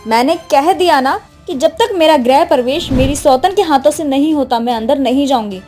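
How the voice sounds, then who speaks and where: A woman acts a spoiled young city wife in a cartoon moral story. Her voice is high and insistent, with haughty phrasing over faint music. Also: moderate pace, mellow, natural voice. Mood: demanding.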